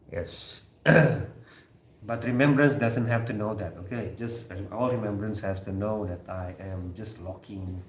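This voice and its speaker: A man's voice: on the low side, slow, long pauses, a little mellow, roomy sound. A man with an Indonesian accent, a Sundanese native speaker, hosting a casual live chat and talking with viewers, joking and teasing with many fillers and restarts. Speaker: host of a live chat stream.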